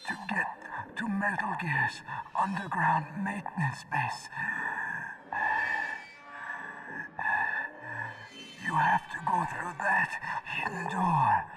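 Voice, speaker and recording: A man playing a dying psychic villain in a video game, bitter and theatrical, with a strained, breathy, halting delivery. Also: high voice, animated, full character voice. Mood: weak, labored. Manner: slow, halting, melodramatic monologue. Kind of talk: dramatic video game cutscene acting. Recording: long reverb, clear background noise.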